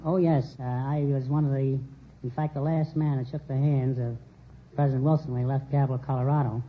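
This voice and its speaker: An older man from New York City answering as a quiz show contestant in an earnest, halting, formal way. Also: medium pitch, steady tone. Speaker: contestant, retired Secret Service agent. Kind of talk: unscripted quiz show interview. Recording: muffled vintage radio with hiss.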